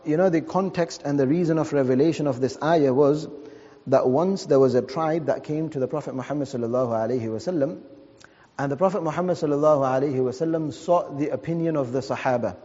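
A man's voice: a little high, fast, warm tone. Man preaching in a reverberant hall. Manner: storytelling with Arabic phrases. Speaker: Islamic preacher. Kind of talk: religious talk. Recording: lo-fi with long reverb.

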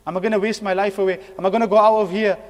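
High-pitched male preacher in an echoing hall. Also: steady tone, very fast. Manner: earnest, exhorting with repeated phrases and rhetorical questions. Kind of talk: sermon. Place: mosque hall. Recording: long echoing hall reverb.

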